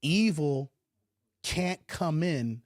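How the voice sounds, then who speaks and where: A man with an American accent hosts his own commentary stream in a high-pitched voice. He makes his case emphatically, repeating points and throwing out rhetorical questions. The sound is dry and close, with no room echo. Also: animated, drawn-out words. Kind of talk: opinionated livestream commentary on a film.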